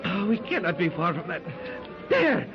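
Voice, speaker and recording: A man's voice: high voice, very animated, normal speed, over music, crowd noise. A man playing a determined adventurer in a 1930s radio drama, urgent and commanding. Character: Tom, determined adventurer hauling treasure. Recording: noisy lo-fi 1930s radio recording.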